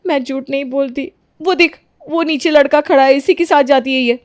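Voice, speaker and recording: A scheming young daughter-in-law from a cartoon family drama, acted by a woman. Her voice sits high, and she argues in an accusing, self-righteous, melodramatic way. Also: moderate pace, no room sound, studio quality, close to an everyday voice. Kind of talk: Hindi animated moral-story dramatization.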